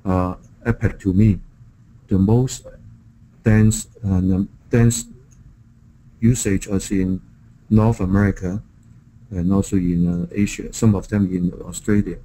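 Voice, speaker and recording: A middle-aged man from Hong Kong with a Hong Kong accent, a native Chinese speaker, making a business pitch in halting English with repeated words. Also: steady tone, warm tone, a little hiss or hum, poor audio. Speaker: software vendor presenting to a prospective partner. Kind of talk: business call, product pitch.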